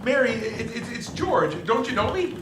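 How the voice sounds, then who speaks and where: Man acting a desperate, high-voiced family man. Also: very animated, normal speed. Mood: desperate pleading. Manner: stammering, urgent, pleading. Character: desperate, distraught family man pleading for help. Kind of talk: live stage re-creation of 1940s radio play. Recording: roomy stage sound, low rumbling noise.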